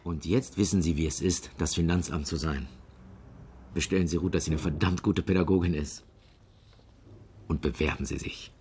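An actor playing a terse, dry tax office official in a naturalistic radio drama, with clipped delivery. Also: male voice, low voice, fairly even intonation, moderate pace, long pauses, darkish tone, slight background noise, close to an everyday voice. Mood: dry, gruff.

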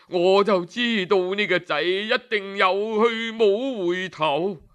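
A man's voice: a bit bright, clear, resonant tone. A man with a Cantonese accent telling a historical tale in traditional storytelling style, dramatic and sighing between phrases. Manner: dramatic, sighing, shifts pitch for quoted figures.

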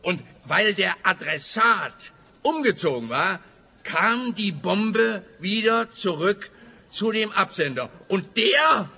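Comic radio-play police detective, bumbling and self-satisfied: very forceful, piercing tone, slow halting delivery. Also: male voice, sits high, expressive, husky, heavily acted. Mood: self-important. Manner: rambling, pompous, halting.

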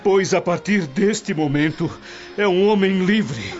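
A man's voice: high-pitched, takes it slowly, darkish tone. An actor playing a grateful, magnanimous noble Roman father in a radio drama, formal and emotional. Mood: grateful, solemn. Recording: dull lo-fi radio drama audio. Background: music.

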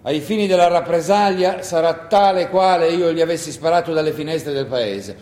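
An actor playing a resolute partisan fighter, speaking tersely and firmly in a measured theatrical stage style. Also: male voice, on the high side, a little mellow, pitched below the performer's own voice, close to an everyday voice. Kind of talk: theatrical stage monologue acting. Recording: echoey hall with long reverb.

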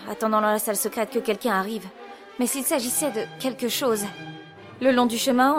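A woman acting a determined heroine in an audio drama. She, earnest and dramatic, over clearly audible music. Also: fairly high pitch, close to an everyday voice. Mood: grave, worried. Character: earnest, determined young sister turned amateur investigator.

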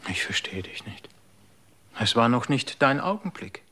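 A devoted, worried companion. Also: male voice, mid-range voice, sing-song, unhurried, heavily acted. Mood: earnest. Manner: gentle and earnest, often hushed. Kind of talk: radio drama acting.

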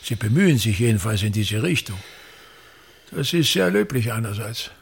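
An actor playing a pompous, embittered old touring actor-manager in a radio play, declaiming grandly with a gravelly, husky voice. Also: male voice, lowish voice, big pitch swings, normal speed, exaggerated voice. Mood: condescending, grandiose. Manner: declamatory, grandiose, emphatic repetition. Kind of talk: theatrical radio play monologue.

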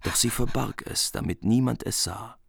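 Male narrator, scripted literary storytelling, radio drama, medium pitch, gravelly creak, dry studio recording. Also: normal speed, fairly bright tone, dry recording.

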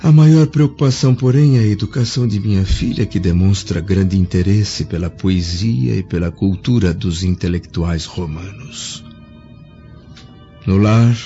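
An actor playing a proud, austere, grieving middle-aged Roman senator in a radio drama, speaking in a solemn, formal, measured way. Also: male voice, sits low, gravelly, dark tone. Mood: melancholy. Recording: dull band-limited audio with music behind.

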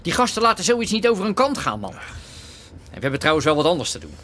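An actor playing a bossy, distrustful underworld boss in a Dutch radio drama, curt and impatient. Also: male voice, fairly high pitch, wildly swooping pitch, a little fast, a bit bright, a little hiss or hum. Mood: irritated, impatient.

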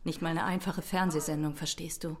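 A woman's voice: low voice, steady tone, a little fast, natural voice. An actress playing an argumentative, defensive girlfriend in a radio drama. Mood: exasperated, defensive.

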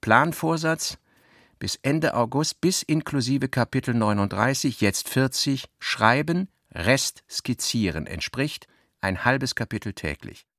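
An actor playing a brooding male novelist who reads out his own work notes in clipped, measured phrases for a radio play. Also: mid-range voice, expressive, fairly bright tone, no room sound, clean studio sound. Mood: sober, noting. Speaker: actor reading a writer's notebooks. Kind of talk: radio drama reading of diary notes.

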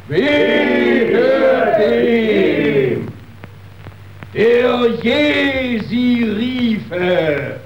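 A man's voice: extremely high pitch, full character voice. An actor playing a pompous chorus man who guards the social order, chanting his lines in 1930s radio declamation. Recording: muffled, echoey, noisy, distant 1932 radio recording.

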